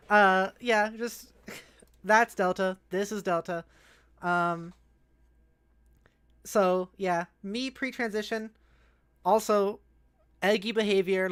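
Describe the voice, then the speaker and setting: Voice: mid-range voice, fairly bright tone, smooth. A streamer host chatting casually and animatedly with viewers on a livestream. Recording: music playing behind the voice.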